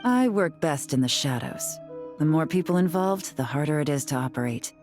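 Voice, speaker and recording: Cool game investigator, low pitch, self-assured, direct, faint music behind. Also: female voice, animated, moderate pace, darkish tone. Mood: confident. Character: cool, confident, secretive female investigator. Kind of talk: video game character acting.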